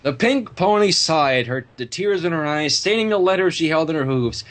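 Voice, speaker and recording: A reader narrating fan fiction aloud in an expressive storytelling style. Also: medium pitch, normal speed.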